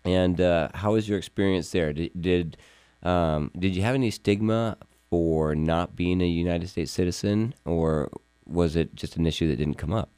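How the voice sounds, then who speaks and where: A man's voice: low-pitched, normal speed, warm tone, clear, resonant tone, dry recording. A man hosting an interview with his employee, rambling earnestly and repeating words as he talks. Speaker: host, firm owner interviewing an employee. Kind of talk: casual interview. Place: Yuma, Arizona.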